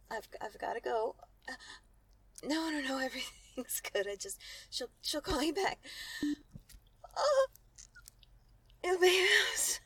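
A woman playing a seductive, dominant yet tender lover in an intimate adult audio roleplay, with a breathy voice. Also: sits high, dry recording.

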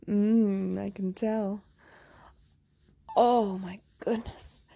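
Woman whispering real slow and breathy, kinda gravelly, dry close sound, sweet coaxing tone. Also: medium pitch, steady tone, mellow, no echo. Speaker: roleplay performer. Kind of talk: whispered intimate roleplay ASMR.

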